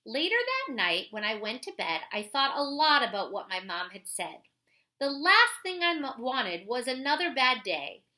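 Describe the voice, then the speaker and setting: A woman voicing an earnest schoolboy telling his own story from a children's picture book, in an expressive, singsong way. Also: on the high side, moderate pace. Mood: rueful.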